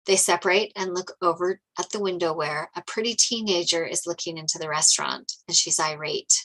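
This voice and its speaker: A woman narrator reads screenplay directions aloud. Her voice is fairly low for a woman but bright and forceful in tone. The delivery is scripted narration, setting scenes and describing action. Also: brisk, low-quality recording.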